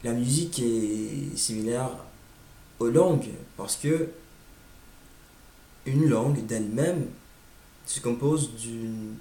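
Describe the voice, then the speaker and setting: Hesitant American man speaking slow French. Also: mid-range voice, mellow, echoey room. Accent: American English. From United States. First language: English. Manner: hesitant, searching for words with many "euh" fillers. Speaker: language learner sharing his experience. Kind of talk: casual monologue.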